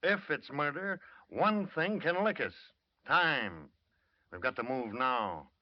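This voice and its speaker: Hard-nosed lieutenant, American, terse and pressing, medium pitch, vintage radio crime drama with muffled sound. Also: male voice, lively intonation, slow. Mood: firm, pressing. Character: blunt, pressing male police lieutenant questioning a witness. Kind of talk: old-time American radio drama acting. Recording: muffled old radio broadcast recording.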